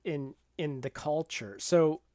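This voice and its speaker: A man with a slightly high voice speaks as a podcast co-host, recorded very dry. His delivery is conversational and explanatory, in a measured, informal style. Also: warm tone, dry recording. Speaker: podcast co-host discussing a film. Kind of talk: casual discussion.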